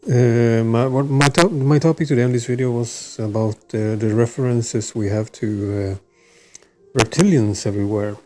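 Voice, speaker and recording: A man from Sweden speaks in Swedish-accented English as he hosts his own video channel. His talk is loose and unscripted, full of pauses and "uh"s, and he restarts sentences as he goes. His voice sits at an ordinary middle pitch for a man. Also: fairly even intonation, mellow. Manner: halting, many filler sounds and restarts. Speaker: channel host. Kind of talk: casual monologue to camera.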